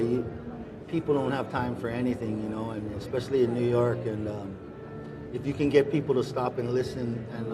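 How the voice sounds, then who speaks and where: A middle-aged man from Hawaii, a musician, answering interview questions in a relaxed, rambling way with many pauses and restarts. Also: medium pitch. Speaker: musician guest. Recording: busy crowd noise behind.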